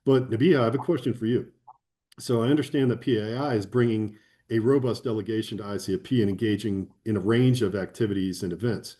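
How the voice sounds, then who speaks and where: Brief: man, low mellow voice, thoughtful and enthusiastic interview guest. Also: quick pace. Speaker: conference guest. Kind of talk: conversational interview.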